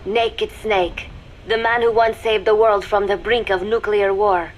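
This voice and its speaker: An actress playing a cool, watchful young female spy in a video game, dictating a secret log in a measured, formal, deliberate way. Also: mid-range voice, steady tone, close to an everyday voice, low-quality recording. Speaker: spy recording an intelligence log. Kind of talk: scripted video game voice acting, dramatic monologue.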